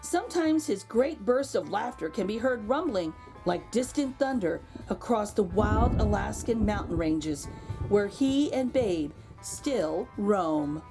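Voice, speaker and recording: A woman reading a picture book aloud to children as an expressive storyteller, with exclaimed asides to her young listeners. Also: medium pitch, slow pace, music in the background, low-quality recording. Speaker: children's read-aloud host.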